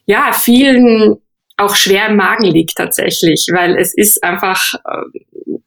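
A woman's voice: mid-range voice, slow, vocal fry, fairly bright tone, no echo. A woman hosting a podcast, introducing her guest and asking interview questions. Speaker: podcast host.

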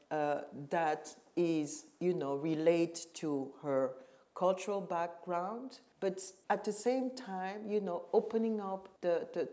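A middle-aged woman from Cameroon, a curator and museum director, speaking reflectively and with emphasis in a panel conversation, often pausing to search for words. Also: lowish voice, mellow.

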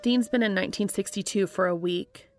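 A woman reading a story aloud as scripted dramatic narration, with a creaky voice. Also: medium pitch, brisk, faint music behind. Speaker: audiobook-style reader of fan fiction.

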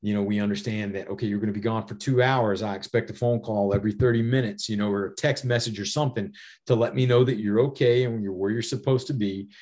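A man's voice: on the low side, steady tone, fast, a little mellow. A middle-aged man with an American accent answering interview questions conversationally, explaining things with personal anecdotes and often saying "you know". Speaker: podcast guest, safety author and former federal air marshal.

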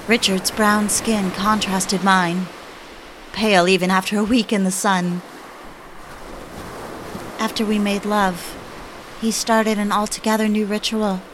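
An actress playing an intense, darkly devoted young wife in an audio drama, with a deliberate, intimate delivery. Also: female voice, mid-range voice, background noise, natural voice. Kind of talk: audio drama acting and first-person narration. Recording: music under the voice.

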